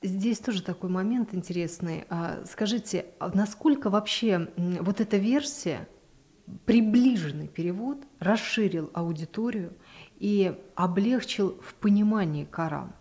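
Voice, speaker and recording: A middle-aged woman hosting a studio interview at a desk microphone, calmly leading with questions. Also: mid-range voice, normal speed, husky. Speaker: interview host. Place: small television studio. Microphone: desk mic on stand.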